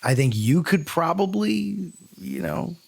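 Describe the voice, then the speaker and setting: A man co-hosting a podcast, debating casually in a blunt, skeptical way with a gravelly edge to his voice. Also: sits high, very animated, unhurried. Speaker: podcast co-host.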